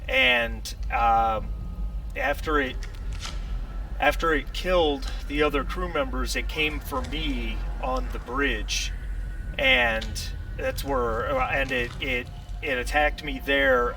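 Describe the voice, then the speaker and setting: A man playing a shaken ship survivor in a tabletop sci-fi game, halting and stammering as he recounts an attack. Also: mid-range voice, creaky, bright, forceful tone, full character voice. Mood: uneasy, explaining. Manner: halting, stammering, repeats himself. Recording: noisy room, distant mic.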